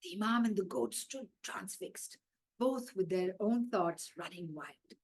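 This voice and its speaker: A woman's voice: medium pitch, unhurried, gravelly, breathy edge. A woman with an Indian accent reading a children's story aloud expressively, as its author.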